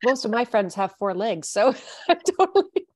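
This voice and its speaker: A woman's voice: fairly high pitch, very animated, normal speed, darkish tone, no room sound, clean studio sound. A woman from Canada hosting a podcast interview, chatting casually, laughing often and restarting her sentences. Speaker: podcast host.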